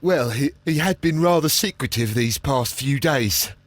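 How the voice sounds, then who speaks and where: A man's voice: medium pitch, measured pace, lightly acted. Man playing a nervous, stammering, haunted councillor. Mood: fearful, agitated. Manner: heavy stammer, hesitant, apologetic. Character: nervous, haunted, guilt-ridden male councillor and archaeology enthusiast. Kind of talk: scripted video game acting.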